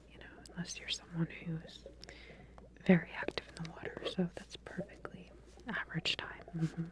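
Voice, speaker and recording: A woman speaks in a breathy, low-pitched whisper. She plays a medical researcher in an ASMR roleplay, giving procedural instructions gently and with some hesitation.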